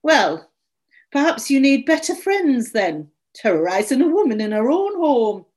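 A woman voicing a distraught, indignant story character, shrill, accusatory and pleading over her lost dog. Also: big pitch swings, measured pace, a little mellow. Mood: angry, accusatory.

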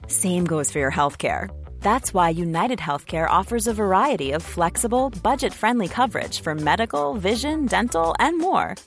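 A woman's voice: mid-range voice, expressive, moderate pace, soft background music. A woman announcer delivering a scripted commercial voice-over. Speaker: advert announcer.

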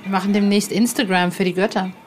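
A woman interviewing casually, curious and quick to react to what she is told. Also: mid-range voice, steady tone. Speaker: podcast host, interviewer.

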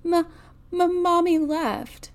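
A woman voicing a tearful little granddaughter in a novel, halting and pleading in a childlike way. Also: on the high side, fairly even intonation, vocal fry, darkish tone. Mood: sobbing, pleading.

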